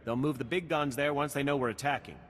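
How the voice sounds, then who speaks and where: An actor playing a practical, decisive army lieutenant in a video game, in a businesslike briefing tone. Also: male voice, medium pitch, fast, fairly bright tone, in a large hall, a little hiss or hum, lightly acted. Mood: decisive, urgent.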